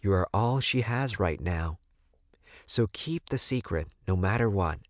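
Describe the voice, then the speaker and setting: A man voicing an older werewolf mother who advises her son in a calm, firm, measured way, as in audiobook dialogue. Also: lowish voice, dry recording, muffled recording.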